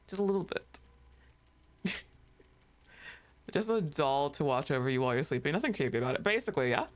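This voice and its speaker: A woman's voice: low voice, big pitch swings, a little fast. A woman streaming a game live, giggling often, swearing freely and gushing thanks to her chat.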